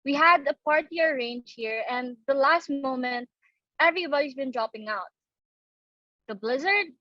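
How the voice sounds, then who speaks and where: Girl playing a flustered earnest society daughter in a stage comedy, really high voice, pleading, bit muffled dry recording. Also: moderate pace, sharp tone, dry recording. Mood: polite, eager. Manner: anxious, pleading, theatrical. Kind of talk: dramatic reading of a stage comedy.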